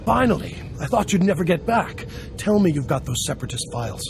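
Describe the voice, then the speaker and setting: An actor playing a jovial, scheming smuggler boss in a video game, gravelly and persuasive. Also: male voice, on the high side, big pitch swings, brisk. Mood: upbeat persuading. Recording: faint music behind the voice.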